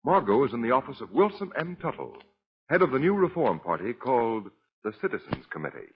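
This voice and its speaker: A man's voice: mid-range voice, big pitch swings, fairly quick, highs cut off, slight background noise. A man playing the formal, theatrical narrator of a crime serial in 1940s radio style, on a vintage recording. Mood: dramatic, formal. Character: dramatic male narrator introducing a crime serial. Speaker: radio drama announcer.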